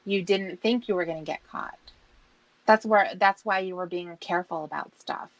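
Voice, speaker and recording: A woman's voice: fairly low pitch, normal speed, dry recording, dull audio. A woman questioning someone in a pressing, accusatory and insistent manner. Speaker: interrogator. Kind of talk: confrontational questioning.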